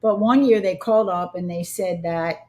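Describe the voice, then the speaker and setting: A woman's voice: on the low side, moderate pace, over a noisy crowd. A woman chatting casually while cooking, telling family stories and laughing easily. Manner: giggly, storytelling.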